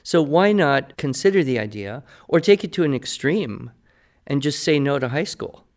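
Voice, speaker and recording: A man's voice: on the high side, animated, moderate pace. An American man answering listener questions on a podcast as the expert, conversational, articulate and opinionated as he explains. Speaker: podcast guest expert, writing teacher.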